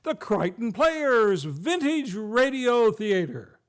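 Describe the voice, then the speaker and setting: High-pitched, unhurried male radio announcer. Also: sing-song. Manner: showy, grandly enunciated. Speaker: host and announcer. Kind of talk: vintage radio show announcing, scripted. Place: theater stage, live show. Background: faint music.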